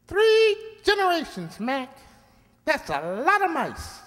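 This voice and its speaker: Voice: high-pitched, huge pitch swings, takes it slowly, a bit bright, full character voice. A performer voicing a small talking male lab mouse in an amateur radio play, plainspoken, peaceable and matter-of-fact with a wisecracking edge. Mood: earnest. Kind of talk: amateur radio drama acting. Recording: echoey hall-like reverb.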